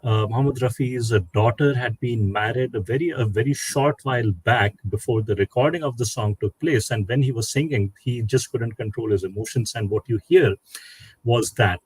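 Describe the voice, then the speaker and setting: A man's voice: mid-range voice, normal speed, a little mellow, slightly rough, no room sound, low-quality recording. A man hosting a casual panel discussion, telling anecdotes and putting questions to the guests. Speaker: host.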